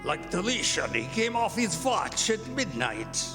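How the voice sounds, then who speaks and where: A man's voice: sits high, very animated, unhurried. A man playing a fearful, defiant deckhand in a radio drama, crying out in broken sailor talk. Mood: alarmed, defiant. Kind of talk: old-time radio drama acting. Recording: hall reverb with music behind.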